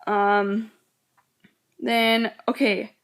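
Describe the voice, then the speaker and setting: A woman's voice: on the high side, flat delivery, extremely slow, smooth. A teenage girl chatting casually in a vlog, rambling with lots of "like" while getting over a cold that makes her voice go in and out. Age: teens. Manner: rambling, many "like"s, quotes others. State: sick, voice going in and out. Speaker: vlogger. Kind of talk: casual chat.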